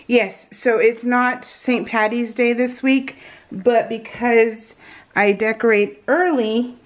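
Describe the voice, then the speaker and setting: An American woman chatting casually as she narrates a craft project, rambling and giggly, with slightly unusual soft R sounds. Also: on the high side, steady tone, takes it slowly. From California, US. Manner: giggly, rambling, self-interrupting. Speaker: craft vlogger. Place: indoors at home, at a craft table.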